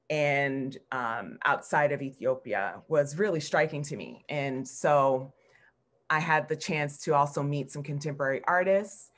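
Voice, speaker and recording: A woman with an American accent and a low voice gives an informal slide talk over a video call. She speaks reflectively, pausing and repeating words for emphasis as she goes. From Newark, New Jersey, United States. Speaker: art history professor, guest speaker. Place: indoor room at home, on a video call. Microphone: video call computer microphone.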